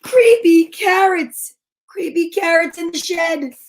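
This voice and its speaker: Woman acting a shrill, panicky little boy rabbit. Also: takes it slowly. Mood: panicked. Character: frightened, jumpy young boy rabbit in a storybook.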